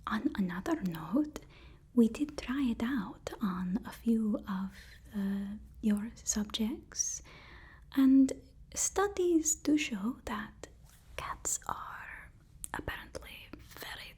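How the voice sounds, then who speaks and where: A woman playing a deferential, flustered personal assistant to a supervillain in a halting, whispered ASMR roleplay. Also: fairly high pitch, full character voice. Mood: placating, hesitant. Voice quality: soft, breathy whisper.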